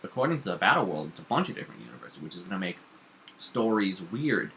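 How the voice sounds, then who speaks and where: A man chatting casually, animated and opinionated, nitpicking in quick bursts. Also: deep voice, gravelly, a little hiss or hum. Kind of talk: casual chat.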